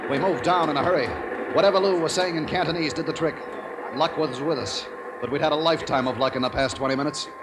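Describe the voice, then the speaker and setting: A man's voice: on the high side, fast, noisy background, natural voice. A man playing an American submarine captain who barks clipped orders and narrates tersely in 1940s radio drama style. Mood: grim suspense. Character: American submarine captain, commanding, urgent, narrating his own story. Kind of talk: 1940s old-time radio drama acting. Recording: vintage 1940s radio broadcast.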